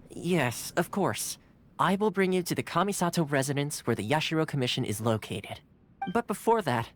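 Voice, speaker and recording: An actor playing a courteous, playful yet earnest young retainer in a video game, speaking in polite, formal phrases. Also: male voice, mid-range voice, slight background noise. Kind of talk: scripted game cutscene dialogue. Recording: music behind the dialogue. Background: game music.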